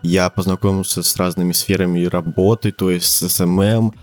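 A man's voice: low-pitched, little pitch movement, brisk, darkish tone. A teenage man from Siberia, a podcast guest talking casually, rambling with lots of fillers and restarts and laughing often. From Siberia, Russia. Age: teens.

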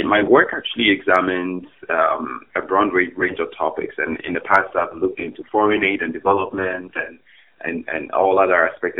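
Deep-voiced man on a tinny line. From Ghana. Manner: thoughtful, hesitant with fillers and restarts. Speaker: academic guest. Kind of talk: podcast interview answers. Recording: tinny phone-like remote line.

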